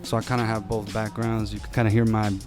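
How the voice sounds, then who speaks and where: A young man with a Brooklyn Italian-American accent talks quickly and loosely as a rapper guest, repeating and restarting words. Music plays clearly behind him. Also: on the low side, completely flat delivery. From Brooklyn, New York. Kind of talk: casual interview chat.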